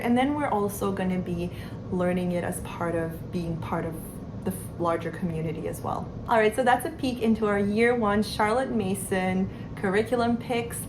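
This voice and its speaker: A woman in her thirties, a homeschooling mother, talking to camera in an earnest, unhurried way with soft fillers. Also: medium pitch. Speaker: homeschooling mother sharing curriculum picks. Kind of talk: casual talk to camera. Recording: steady background noise.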